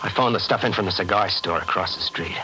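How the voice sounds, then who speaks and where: An actor playing a hard-boiled crime reporter, narrating in a clipped, tense 1940s radio style. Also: male voice, deep voice, fast talker, lightly acted, poor audio. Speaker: narrator and lead. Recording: old radio recording with music.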